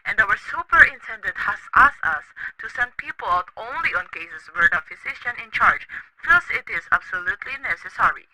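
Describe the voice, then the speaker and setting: A woman's voice: mid-range voice, sing-song, a little fast, a crowd in the background, lightly acted, low-quality recording. A woman playing a courteous, formal hospital receptionist in an amateur radio play cover. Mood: polite.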